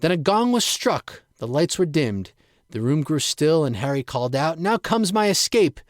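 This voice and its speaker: A man's voice: on the high side, very animated, normal speed, no echo, studio quality. A man reciting his own rhymed poem as a theatrical piece of storytelling. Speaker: poet reading own poem.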